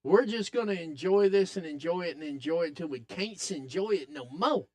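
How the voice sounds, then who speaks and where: A man with a raspy voice hosting a live wrestling watch-along podcast, excitedly calling the action and chatting with viewers. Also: on the high side, moderate pace. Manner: excitable, hypes up the action, talks to chat. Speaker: podcast host.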